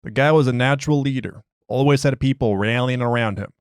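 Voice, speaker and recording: A man's voice: medium pitch, brisk, clean tone, no room sound, clean studio sound, close to an everyday voice. A man voicing an agitated, streetwise prisoner who argues his case in slangy, ungrammatical, exasperated speech. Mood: grimly earnest. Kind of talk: dramatized interview log.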